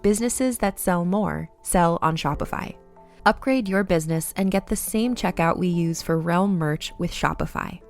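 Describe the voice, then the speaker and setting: A woman reading a scripted advertisement voice-over in a polished, measured sales delivery. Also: fairly low pitch, faint music behind. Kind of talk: scripted ad narration.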